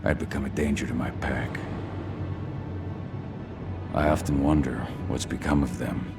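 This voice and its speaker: An actor playing a gruff, brooding exiled man in a video game, speaking gravely and tersely. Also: extremely low pitch, steady tone, a little slow. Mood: somber, regretful. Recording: loud music under the voice.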